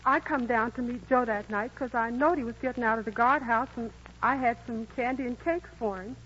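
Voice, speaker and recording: An actress playing an earnest, shy country girl in a 1940s radio drama, speaking softly and pleading, heard on a dull old broadcast recording. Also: a little high, a little fast, slightly rough. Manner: soft, pleading.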